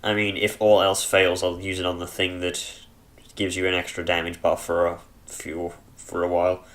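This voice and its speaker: A man giving casual, rambling commentary over a dungeon game on a headset mic, chesty and clearing his throat, with dry humor. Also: sits low, monotone, moderate pace, fairly bright tone. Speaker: let's play gamer.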